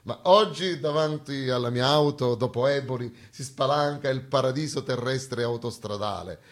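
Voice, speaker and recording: A man delivers a theatrical comic monologue with emphatic, ironic phrasing and dramatic pauses. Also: mid-range voice. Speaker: stage actor performing a satirical monologue.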